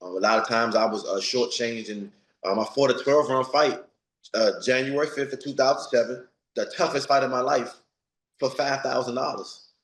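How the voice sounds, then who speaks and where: A man's voice: medium pitch, steady tone, normal speed. Forty-year-old man, ex-boxer, blunt streetwise talk, echoey room. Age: 40s. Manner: blunt, streetwise, repeats himself for emphasis. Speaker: guest, retired boxer. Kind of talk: candid interview answers.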